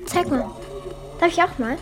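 A woman's voice: extremely high pitch, lively intonation, moderate pace. A female performer voicing a frank, curious young girl in a children's radio play, casual and inquisitive. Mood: curious, hushed. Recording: music behind the dialogue.

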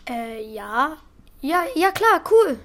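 A performer playing an eager, awkward schoolboy with a hesitant, excitable manner in a children's radio play. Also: sits high, slow pace, slight background noise, heavily acted.

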